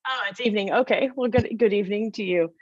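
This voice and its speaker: A woman's voice: mid-range voice, fast talker, no echo. A woman hosting a video call interview, upbeat and encouraging as she asks questions. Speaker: host, interviewer. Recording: dry, dull video call audio.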